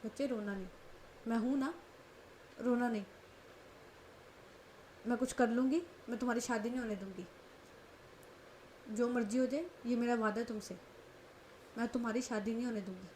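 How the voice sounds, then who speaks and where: A woman voicing a calm, protective young woman who soothes and reassures in a told story, speaking softly. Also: a little high, normal speed, long pauses, slight background noise, natural voice. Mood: soothing.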